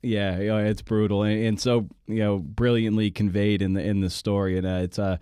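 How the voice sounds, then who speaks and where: A man with a low, mellow voice hosts a podcast in a casual, candid style. His manner is wry and self-deprecating. The sound is dry, with very little room in it. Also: little pitch movement, moderate pace, clean tone, no room sound. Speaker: podcast host and writer.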